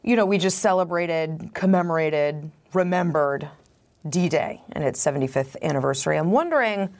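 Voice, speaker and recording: A woman's voice: deep voice, normal speed, a little mellow. A woman hosting an interview podcast, asking her guest direct, conversational questions. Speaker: podcast host.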